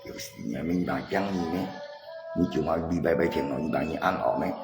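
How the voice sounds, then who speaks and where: A man's voice: a bass voice, moderate pace, gravelly. A man chatting casually on a livestream, in an agitated, argumentative way, often repeating himself. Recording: echoey reverberant space, music behind.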